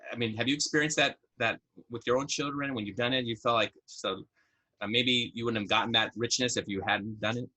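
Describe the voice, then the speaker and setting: A middle-aged man co-hosting a podcast in casual chat, with a husky voice. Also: medium pitch, steady tone, a little fast, bright, forceful tone, low-quality recording. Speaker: podcast co-host.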